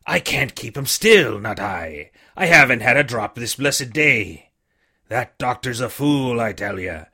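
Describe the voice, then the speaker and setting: A man voicing a sick old sea captain who pleads and blusters in rough sailor's talk with a weak, feeble voice. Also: medium pitch, sing-song, a little slow, pushed and bright, heavily acted. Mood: pleading.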